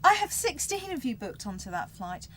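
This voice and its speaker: A woman's voice: high-pitched, very animated, moderate pace, fairly bright tone, husky, exaggerated voice. A woman playing a polite, helpful airline check-in clerk in a comic radio sketch. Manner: courteous.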